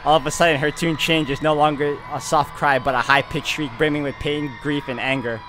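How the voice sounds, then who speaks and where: A man's voice: a little high, fairly even intonation, a little fast, background noise. A man hosting a gaming video, reading a visual novel aloud in a theatrical, suspenseful way. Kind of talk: dramatic read-aloud of visual novel game with player commentary. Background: game music.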